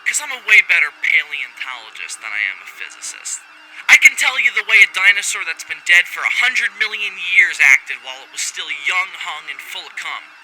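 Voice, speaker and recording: A brash, rebellious male scientist , acted by a man, recording a rambling log for a sci-fi audio drama. His voice is very bright and forceful, swinging widely in pitch as he wryly interrupts himself. Faint machinery and alarm noise sits behind him. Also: lively intonation, normal speed, full character voice, rough recording. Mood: cocky, defiant. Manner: rambling, wry, theatrical, self-interrupting. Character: brash, foul-mouthed rogue male scientist, giddy and rebellious.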